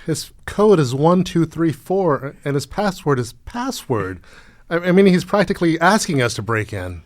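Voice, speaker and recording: An actor playing a sensible, bewildered small-town doctor who speaks hesitantly, stammering a little, in an improvised comedic radio drama. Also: male voice, on the high side, very animated, normal speed. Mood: matter-of-fact.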